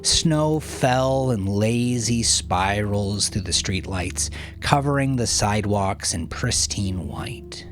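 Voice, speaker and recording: A man narrating dramatic fiction from a script in a measured, solemn manner, with hushed phrase endings. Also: on the low side. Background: music.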